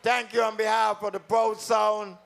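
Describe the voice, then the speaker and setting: High-pitched, gravelly Kittitian male emcee. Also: level tone, normal speed, fairly bright tone, faint music behind. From St Kitts. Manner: teasing, talks directly to audience members. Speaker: calypso tent emcee and comedian. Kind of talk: live stage hosting with teasing banter. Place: live calypso tent stage before an audience.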